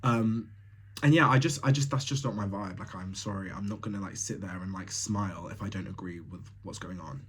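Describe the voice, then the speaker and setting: A man's voice: low-pitched, brisk, slight background noise. A young man from Tanzania hosting a solo podcast, talking casually and bluntly, laughing often with lots of fillers. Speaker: podcast host.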